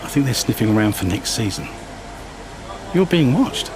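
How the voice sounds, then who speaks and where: A man's voice: mid-range voice, sing-song, normal speed, background noise, natural voice. A man voicing a breezy team boss chatting with his driver. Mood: jovial. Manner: jovial, breezy. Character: jovial middle-aged male team boss.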